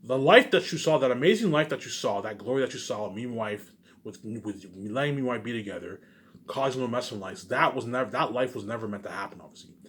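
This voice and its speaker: A man's voice: mid-range voice, expressive, fast talker, fairly bright tone. A man giving a rambling, unscripted vlog monologue, emphatic and repetitive, circling back over his phrases and swearing at times. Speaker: vlogger.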